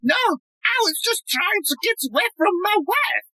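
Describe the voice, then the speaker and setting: A woman voicing a flamboyant, henpecked male psychoanalyst in a farcical audio play, with a shrill, melodramatic manner. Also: expressive, normal speed, sharp tone, no echo, studio quality, exaggerated voice. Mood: pompous, eager.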